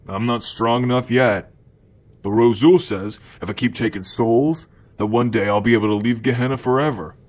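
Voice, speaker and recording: Man acting as a cocky male demon, lowish voice, casual slacker drawl, playful, hungry, ASMR roleplay. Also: lightly acted. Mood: fond, mischievous. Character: cocky, easygoing male demon of gluttony, playful and food-obsessed. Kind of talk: ASMR roleplay addressed to the listener.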